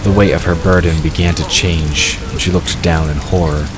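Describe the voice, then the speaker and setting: A man with an extremely low voice reads scripted fantasy narration. Prominent music plays behind him, with the sound of rain. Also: steady tone, moderate pace, poor audio.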